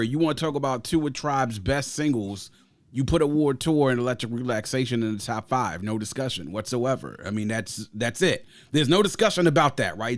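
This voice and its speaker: A man's voice: mid-range voice, dry recording. Emphatic man reviewing music, dry close sound. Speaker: podcast host reviewing music. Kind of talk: conversational music review monologue.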